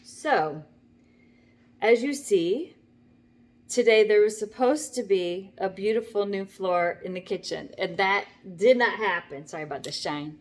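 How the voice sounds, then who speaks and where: A woman's voice: medium pitch, unhurried, darkish tone, a little hiss or hum. An older woman vlogging from her home kitchen, telling a rambling story in a chatty, wry way with plenty of asides. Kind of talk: casual talking-to-camera story.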